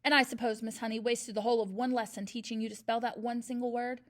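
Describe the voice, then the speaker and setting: A woman's voice: fairly high pitch, flat delivery, fast talker, close to an everyday voice. Brief: woman putting on a towering tyrant headmistress; barks, bellows, drips sarcasm. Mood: scornful. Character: huge bullying female headmistress, furious and brutal.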